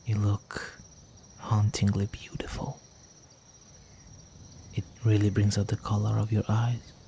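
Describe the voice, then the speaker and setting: Low, slow, seductive vampire gentleman, acted by a man. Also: monotone, slight background noise. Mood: tender, menacing. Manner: seductive, courtly, teasing, with breathy murmurs. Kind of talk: intimate roleplay audio drama.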